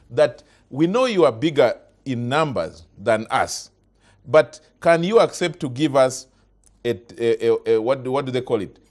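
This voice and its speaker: Man, show host, probing, pushes with repeated questions, mid pitch. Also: a little mellow.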